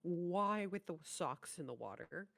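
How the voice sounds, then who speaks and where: A woman's voice: fairly low pitch, lively intonation, measured pace, creaky, a bit bright. A woman co-hosting a reaction show, chatting casually in a playful, exclamatory way.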